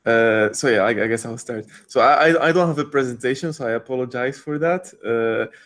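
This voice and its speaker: A young man from Morocco, first languages Spanish and Darija, giving a panel talk over a video call, halting with many restarts. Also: mid-range voice, fairly bright tone. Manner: hesitant, many restarts and fillers. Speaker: panel speaker.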